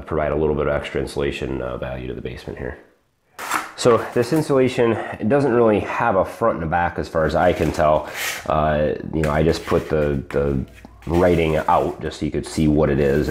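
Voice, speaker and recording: A man in his thirties giving a casual walkthrough of his basement renovation, talking in a halting way with many ums and restarts, on a lapel mic. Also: rumbling low, darkish tone, a little hiss or hum. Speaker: DIY home renovation vlogger. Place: unfinished basement, indoors. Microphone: lapel mic, handheld camera.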